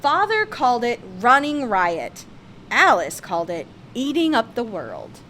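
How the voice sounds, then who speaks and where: Female storybook reader, higher-pitched and expressive, with light background noise. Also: a little slow. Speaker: storyteller reading a picture book aloud. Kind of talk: read-aloud children's storybook narration.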